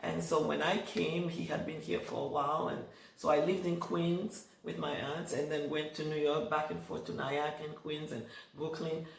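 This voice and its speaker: Middle-aged woman from Haiti, guest, gravelly tone, roomy and distant sound. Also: sits low, level tone, normal speed, hardly any pauses. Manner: warm storytelling with hesitant fillers, laughs often. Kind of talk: casual interview talk.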